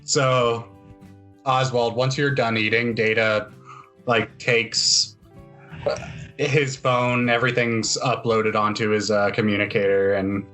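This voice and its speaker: A man's voice: mid-range voice, flat delivery, slow pace, sharp tone, soft background music. A man casually narrating a tabletop role-playing game as game master and host.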